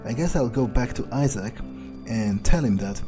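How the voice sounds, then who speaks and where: A man roleplaying a detached, pragmatic male vampire in a game playthrough, musing aloud with hesitant pauses. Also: medium pitch, animated, over music, close to an everyday voice. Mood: intrigued, detached. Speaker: player roleplaying a character. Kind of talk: in-character game playthrough narration.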